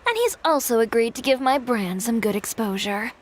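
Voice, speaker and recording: A woman playing a shrewd, self-assured young fashion designer in a video game, speaking briskly and confidently. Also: fairly high pitch, expressive. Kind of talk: video game character dialogue.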